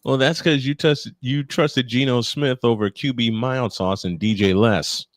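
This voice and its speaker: A man's voice: mid-range voice, a little slow, slight background noise. A man doing live play-by-play baseball commentary, breaking into folksy, joking asides with listeners. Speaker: play-by-play baseball announcer and stream host. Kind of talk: live sports commentary mixed with casual chat.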